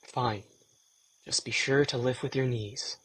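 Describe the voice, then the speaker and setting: A man's voice: mid-range voice, steady tone, a little slow, fairly bright tone, slightly rough, poor audio. A man playing a weary, sardonic, reluctant young vampire in an intimate audio drama, guarded and dry.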